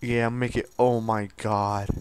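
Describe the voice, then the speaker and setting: A man casually commenting on a video game he plays, joking and laughing, jumping from loud squeals to low mumbles. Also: flat delivery, measured pace, a little hiss or hum. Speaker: gameplay streamer. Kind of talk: casual let's-play commentary.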